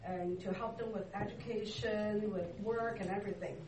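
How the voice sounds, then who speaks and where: A woman speaks at a medium pitch, slowly and haltingly, pausing often to find her words. She is picked up from some distance in an echoey church hall with clear background noise around her. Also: fairly even intonation. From Hong Kong. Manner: unhurried, halting, searching for words. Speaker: interview guest, church ministry worker. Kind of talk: casual interview. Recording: echoey room, distant mic, noisy.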